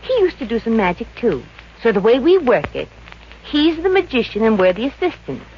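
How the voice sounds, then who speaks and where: Give me a brassy, sharp-tongued showgirl with a lively voice, on a dull old radio recording. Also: fairly high pitch, a little fast, a little hiss or hum. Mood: scheming. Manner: sassy, sharp-tongued quips. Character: brassy wisecracking working-class showgirl. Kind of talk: 1950s radio comedy acting.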